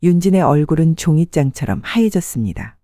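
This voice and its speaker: Calm older woman narrating a story. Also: low-pitched, brisk, dry recording, studio quality. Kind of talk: scripted audiobook narration.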